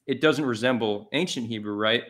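A man's voice: mid-range voice, fairly quick, fairly bright tone. Hesitant man moderating a debate. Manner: hesitant, stumbling restarts, conciliatory. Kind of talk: casual online debate. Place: home room. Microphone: webcam video call.

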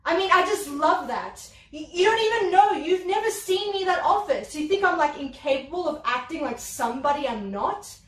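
A woman's voice: extremely high pitch, lively intonation, normal speed, few pauses, a bit bright, recorded from a distance. A woman performing a dramatic monologue as a fiery young ex-waitress now working in an office, swearing and confronting the man she works for. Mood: furious. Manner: profane, confrontational outbursts. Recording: roomy sound from away from the mic.

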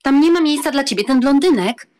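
A woman playing a petulant high-pitched jealous countess. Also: animated, a little fast, warm tone. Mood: angry, jealous. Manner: petulant, commanding, slipping into French. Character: jealous, imperious young countess scolding her lover. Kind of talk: dramatized audiobook acting.

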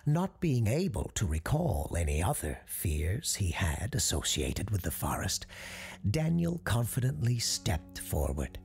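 A woman tells a scripted ghost story, her delivery hushed and often slipping into a whisper. Her voice is deep and gravelly and moves through a wide pitch range. Faint music plays beneath her. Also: big pitch swings, a little slow. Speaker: narrator.

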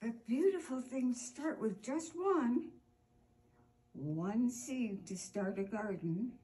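An older woman in her sixties hosting a children's storytime, reading aloud deliberately and expressively, drawing out words for emphasis. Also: on the high side, lively intonation, dark tone, slight background noise. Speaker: storytime host reading a picture book aloud. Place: indoor room with armchair.

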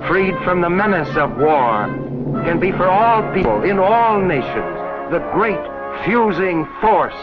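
A man's voice: high voice, very animated, unhurried. Solemn 1940s newsreel-style man, dull old soundtrack. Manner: solemn, declamatory delivery. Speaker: narrator. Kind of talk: scripted 1940s educational film narration. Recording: dull, band-limited 1940s film soundtrack. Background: orchestral film score music.

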